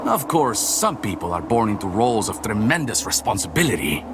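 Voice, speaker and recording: An actor playing a self-important, condescending nobleman in a dark fantasy game, with a flowery, theatrical delivery. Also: on the low side, very animated, fairly quick, heavy background noise. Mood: pompous, boastful.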